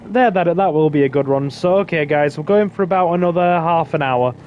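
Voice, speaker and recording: A man's voice: on the high side, a little fast, highs cut off. A man chats his way through a game stream in a slightly raised voice. His talk rambles with fillers and restarts. Game noise hums faintly behind him. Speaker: streamer host. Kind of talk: casual gaming stream chat.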